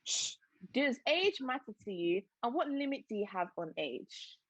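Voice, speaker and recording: A woman's voice: a little high, big pitch swings, unhurried, breathy edge, no room sound. A young woman hosting a casual podcast chat, earnest and reflective as she shares her views. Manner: earnest, reflective, speaks of faith. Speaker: podcast host. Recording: dull, dry lo-fi podcast audio.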